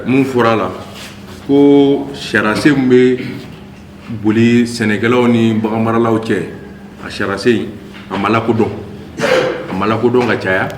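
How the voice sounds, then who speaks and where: A Malian-accented man reports to a meeting in a fairly low voice. He goes through his points one by one, dropping in French words. The room is echoey, with faint noise behind him. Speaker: town hall secretary general presenting workshop findings. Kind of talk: formal spoken report to a meeting.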